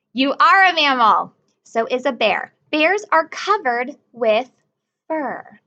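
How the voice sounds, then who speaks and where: Woman teacher doing a read-aloud for young kids, high voice, lots of questions and praise, dull home recording. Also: bright, forceful tone. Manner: encouraging, asks children questions.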